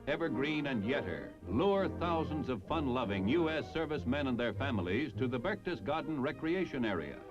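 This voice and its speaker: Animated American newsreel man, vintage muffled sound. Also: a little high. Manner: jaunty, polished announcer delivery with playful wording. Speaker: newsreel narrator. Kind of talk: 1950s-60s military newsreel narration, scripted.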